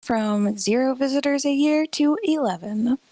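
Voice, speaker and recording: An actress playing a dry, skeptical radio co-host in a radio play, delivering wry, deadpan remarks. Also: female voice, high-pitched, a little slow, dry recording.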